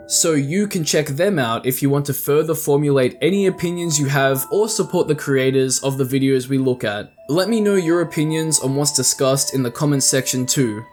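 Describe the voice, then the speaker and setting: A man's voice: on the high side, darkish tone. A man hosting a video essay, reading scripted commentary in a casual, slangy way. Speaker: YouTube host and commentator.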